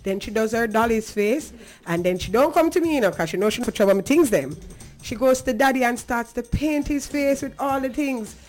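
High-voiced, emphatic Jamaican woman. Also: animated, fairly quick, darkish tone, soft background music. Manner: earnest, emphatic, conversational. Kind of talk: advocacy talk on a talk show, slipping into patois.

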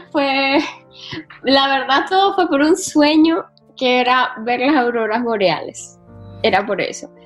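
A woman's voice: sits high, animated, slow, pauses often, a bit bright, soft background music, poor audio. A woman from Colombia's Caribbean coast with that region's Spanish accent, telling her experiences candidly in a casual interview and repeating words for emphasis. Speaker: interview guest, solo traveler and travel writer.

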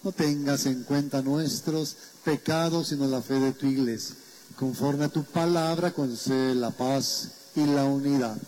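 Slow, solemn male priest. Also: mid-range voice, steady tone, a little mellow, poor audio. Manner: slow, measured, solemn recitation. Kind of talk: liturgical prayer at Mass.

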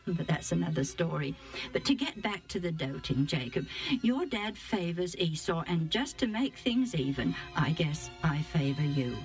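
A woman's voice: fairly low pitch, expressive, moderate pace, faint music behind. A woman voicing a fond, coaxing, scheming middle-aged mother who dotes on her son, in a Bible storybook. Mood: fond, confiding. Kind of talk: acted Bible storybook dialogue.

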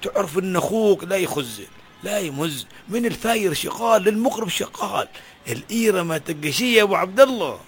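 A man playing a poor, harried father of nine who complains loudly in a comedy sketch. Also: high voice, expressive, fast talker. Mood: lamenting. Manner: loud, exasperated complaining.

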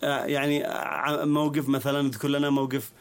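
A man's voice: medium pitch, flat delivery, quick pace, smooth. A man with a Yemeni accent hosting a conversational arts interview. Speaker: interview show host.

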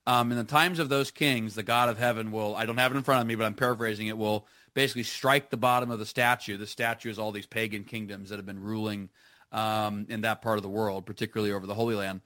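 A man's voice: fairly low pitch, steady tone, fast talker, sharp tone, no room sound, studio quality. A man as a guest on a live radio show, chatting enthusiastically with plenty of fillers and restarts. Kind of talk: casual radio conversation.

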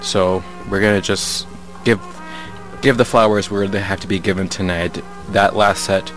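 A man's voice: on the low side, rough recording. A man from Connecticut hosting a radio show, chatting casually between songs and often repeating himself. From Connecticut, USA. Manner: casual, rambling, repeats phrases. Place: radio studio.

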